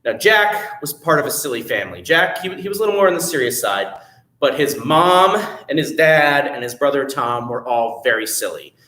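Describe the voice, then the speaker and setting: A man telling a children's story at a library storytime, animated and playful, chatting with his young listeners. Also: mid-range voice, normal speed, reverberant.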